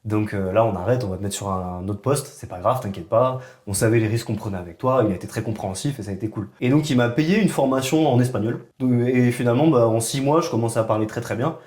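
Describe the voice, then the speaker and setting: Fast-talking man in casual chat. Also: mid-range voice, roomy sound. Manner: fast, relaxed storytelling with many fillers. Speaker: podcast co-host.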